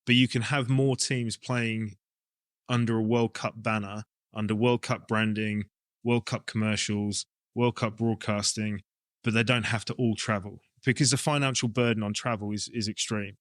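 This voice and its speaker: A man's voice: on the low side, steady tone, normal speed, dry recording, studio quality. A man with an English accent talking casually as an interview guest, explaining his ideas informally as he thinks them through. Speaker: rugby coach and club director, interview guest.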